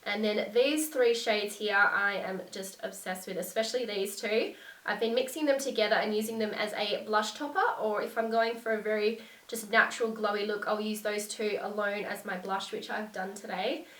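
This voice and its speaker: A woman's voice: mid-range voice, fairly even intonation, moderate pace, fairly bright tone, far from the mic. A young woman with a congested, nasal voice from a cold, gushing about beauty products in a casual vlog. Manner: gushing, enthusiastic. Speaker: beauty vlogger. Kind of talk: casual product review to camera. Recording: echoey room, a bit off mic.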